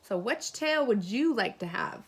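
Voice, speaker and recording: A woman teacher reading a children's picture book aloud expressively, pausing to put questions and asides to her young listeners. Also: on the high side, expressive, slightly rough.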